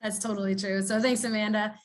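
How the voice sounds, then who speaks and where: A woman's voice: medium pitch, steady tone, brisk. A woman hosting an online business webinar, upbeat and chatty with fillers, heard over a video call. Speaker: webinar host.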